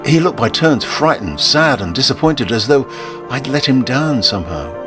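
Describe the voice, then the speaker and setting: A man narrating a scripted first-person short story in a theatrical, intense way with deliberate phrasing. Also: medium pitch, big pitch swings. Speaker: narrator and performer. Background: music.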